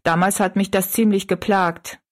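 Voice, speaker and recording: A woman's voice: mid-range voice, fairly even intonation, moderate pace, close to an everyday voice. A woman voicing a defensive, self-satisfied older married woman in an audiobook story, evasive and self-justifying.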